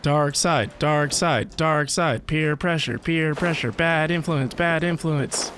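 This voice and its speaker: A man's voice: a little high, slow, fairly bright tone, slight background noise. A man chatting casually as a live game streamer, with a playful, theatrical and mock-dramatic manner.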